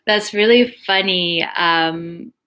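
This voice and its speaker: A woman with a soft, relaxing voice, hosting a casual live chat, reading viewer comments and answering questions. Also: on the low side, slow pace, smooth. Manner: chatty, reads comments aloud, frequent "um". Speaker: live stream host.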